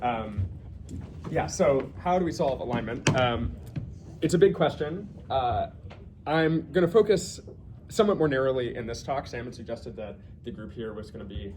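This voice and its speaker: A man's voice: medium pitch, moderate pace. A man speaking as a guest researcher, giving an informal technical lecture to colleagues and often rewording himself as he goes. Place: talk to a small in-house audience. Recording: faint background noise.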